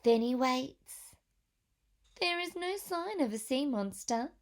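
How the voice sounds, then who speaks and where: A woman teacher reading a picture book aloud to young children, pausing for questions and gentle whispered asides. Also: sits high, animated.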